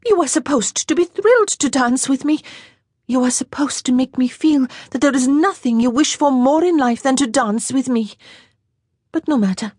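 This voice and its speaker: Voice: high voice, animated, moderate pace, a little mellow, no echo, clean studio sound, voice pushed up. A narrator voicing a playful, teasing young French girl in an audiobook, in a lilting, coquettish manner. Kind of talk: audiobook character voice.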